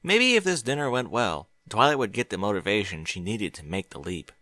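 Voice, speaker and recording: A man's voice: on the low side, very animated, brisk, bright, forceful tone, no echo. A man reading fiction aloud as an audiobook, narrating expressively. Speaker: audiobook reader.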